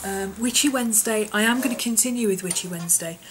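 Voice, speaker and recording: A woman, her voice hoarse and husky from an infection, chatting casually in a vlog with lots of ums and small laughs. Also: mid-range voice, fast talker, background noise. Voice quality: husky, often whispery. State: hoarse, voice partly lost from infection. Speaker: vlogger.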